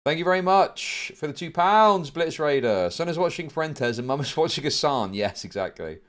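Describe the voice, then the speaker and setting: A middle-aged man with a British accent hosting a livestream, chatting casually and telling stories in a rambling, sweary, animated way. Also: a little high, normal speed. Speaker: livestream host. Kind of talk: casual chat to a livestream audience.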